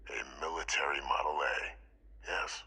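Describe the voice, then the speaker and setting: An actor playing a grave, calculating old military commander in a sci-fi game, speaking formally and deliberately in a raspy, breathy voice. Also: male voice, a bass voice, fairly even intonation, bright, forceful tone, exaggerated voice. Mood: solemn, resolute. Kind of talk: scripted game dialogue.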